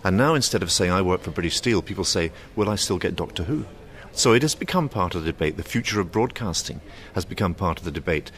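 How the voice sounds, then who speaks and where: A man's voice: low voice, lively intonation, quick pace, a bit bright. A man giving explanatory analysis in an interview. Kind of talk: explanatory interview talk with acted-out quotes. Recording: faint background ambient noise.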